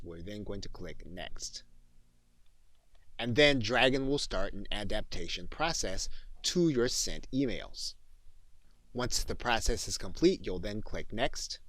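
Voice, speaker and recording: Low-voiced man giving a software tutorial. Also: dry recording. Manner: instructional, methodical. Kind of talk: step-by-step screencast tutorial narration.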